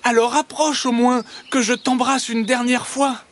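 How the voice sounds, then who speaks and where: A man's voice: fairly high pitch, lively intonation, measured pace, slightly rough, pitched above the performer's own voice. A man voicing a clever, exasperated but kind-hearted hedgehog in a children's audio storybook, speaking emphatically. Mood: urgent. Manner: emphatic, scolding.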